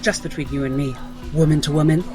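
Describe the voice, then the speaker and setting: A woman's voice: low-pitched, fairly even intonation, fast, music in the background, natural voice. A woman playing a condescending 1960s television hostess, effusive and archly polite, with sweetly cutting remarks in a period drama. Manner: effusive, talks over people, archly polite.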